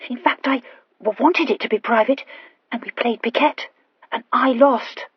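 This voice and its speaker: Lady doing a stammering young noblewoman, high breathy voice, earnest and gushing, storybook romance, bit muffled. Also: moderate pace, no room sound. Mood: anxious, confessing. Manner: hesitant, gushing confession with emphatic outbursts. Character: breathless impulsive young wife, anxious, earnest aristocrat.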